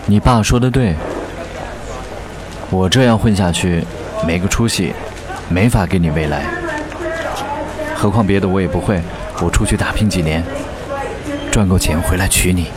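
An actor in a radio drama playing a young aspiring singer, an affectionate but tired boyfriend who speaks coaxingly. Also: male voice, low-pitched, normal speed, pauses often, a loud crowd, natural voice. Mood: firm, resigned. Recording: music behind the voice. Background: background music.

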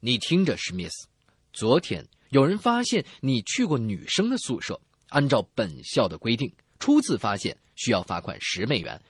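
A man's voice: mid-range voice, very animated, normal speed, husky, no echo, studio quality. A man co-hosting a comedy radio show, playfully acting out jokes. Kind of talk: joke-telling banter.

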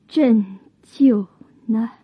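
A woman acting a young, wronged imperial consort in an audio drama, bitter, proud and defiant, with breathy, drawn-out tragic delivery. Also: a little high, narrow, tinny audio, exaggerated voice. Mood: cold, commanding.

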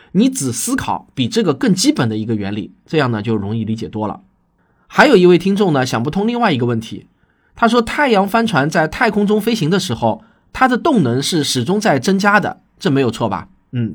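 A man's voice: fairly high pitch, breathy edge. A man hosting a science explainer podcast in a conversational but prepared way, teaching emphatically and putting rhetorical questions to his listeners. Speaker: host and science fiction author answering listener questions.

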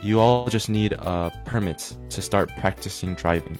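A young man co-hosting a casual podcast, explaining things in an easygoing, relaxed way with a light music bed behind. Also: low voice, moderate pace. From United States. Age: young adult. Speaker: co-host. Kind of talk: casual bilingual podcast chat.

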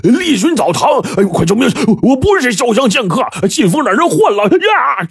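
A man's voice: very high-pitched, big pitch swings, moderate pace, vocal fry, slightly rough, no room sound, clean studio sound, voice pushed up, heavily acted. A man voicing a terrified, wounded young man begging for his life in a spoken story. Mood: screaming. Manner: whimpering, desperate.

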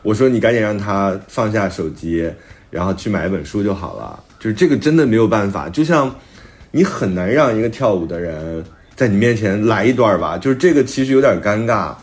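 A man's voice: lowish voice, animated, fairly quick. A middle-aged man talking casually as a novelist, telling stories and acting out bits of quoted conversation. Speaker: novelist guest discussing own book.

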